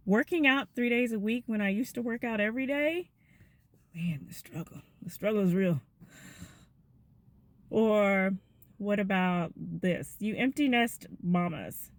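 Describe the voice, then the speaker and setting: A woman, giving a gentle, chatty devotional talk to her viewers. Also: medium pitch, lively intonation, unhurried, long pauses, no echo. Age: 50s.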